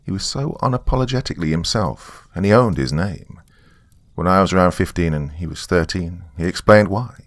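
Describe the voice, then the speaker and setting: A man's voice: low voice, expressive, fairly quick, no echo. A man narrating a story in the first person.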